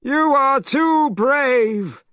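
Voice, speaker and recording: A man's voice: very high-pitched, animated, extremely slow, pushed and bright, no room sound, studio quality, voice pushed up, heavily acted. A man voicing a horrible, menacing monster king who eats children, in a storybook reading. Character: horrible male monster king, fire-eyed, child-eating.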